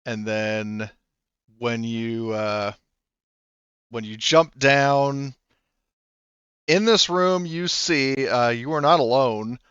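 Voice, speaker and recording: A man working as game master in a tabletop role-playing game, casually narrating and thinking aloud haltingly through the rules. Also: mid-range voice, fairly bright tone, clear, resonant tone, dry recording, highs cut off.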